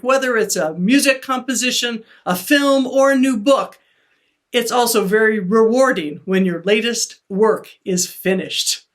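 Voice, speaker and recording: A woman hosting an arts web video series, reading scripted introductions and interviewing a guest in an even, clear delivery. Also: a little high. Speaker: host.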